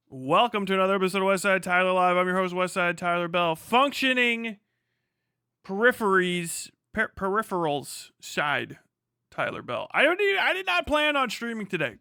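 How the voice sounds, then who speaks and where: A man's voice: high-pitched, animated, normal speed, sharp tone, clean tone, no room sound, studio quality. A man hosting a livestream with casual, rambling, sarcastic chat and plenty of swearing, sounding tired. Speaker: livestream host.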